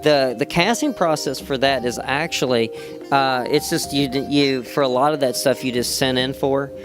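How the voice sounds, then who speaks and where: Rambling man over loud music. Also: medium pitch, normal speed. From West Virginia. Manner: rambling, many "you know" fillers and restarts. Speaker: actor interviewed as guest. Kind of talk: casual convention interview. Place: fan convention floor. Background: music playing.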